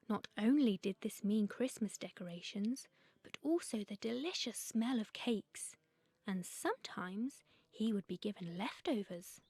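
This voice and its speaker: Woman reading a kids storybook tale, voice on the high side. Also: a little mellow. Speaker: storyteller and author. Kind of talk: scripted children's story narration.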